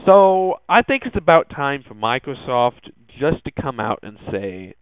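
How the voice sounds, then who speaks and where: A man's voice: mid-range voice, sing-song, unhurried, no echo. A young man hosting a casual tech podcast, talking hesitantly with many ums and restarts. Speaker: podcast host. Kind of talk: casual tech news monologue. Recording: dry phone-like lo-fi audio.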